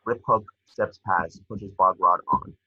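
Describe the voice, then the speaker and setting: A man's voice: deep voice, unhurried, husky, no echo, highs cut off. A man reading stage directions as narrator for a screenplay table read.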